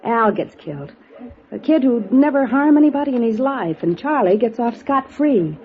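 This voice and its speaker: A woman's voice: a little high, expressive, moderate pace. A woman acting as a frank, slangy actress in a 1950s radio drama, American, conversational and matter-of-fact. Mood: wry, questioning. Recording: thin phone-like vintage radio recording.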